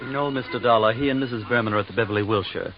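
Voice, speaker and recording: A man's voice: medium pitch, very fast, music in the background, lightly acted. An actor playing a polite, obliging male secretary in a 1950s radio drama, formal, precise and deferential. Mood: deferential, eager. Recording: muffled vintage radio recording, faint noise.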